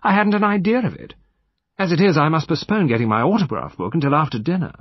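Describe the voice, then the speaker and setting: A man's voice: mid-range voice, very animated, slightly rough, no echo, muffled recording, voice pushed up. Man putting on an arch, drawling young society lady. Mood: arch, bored. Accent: upper-class English. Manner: slow affected drawl, arch. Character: proud young society woman, affected drawl.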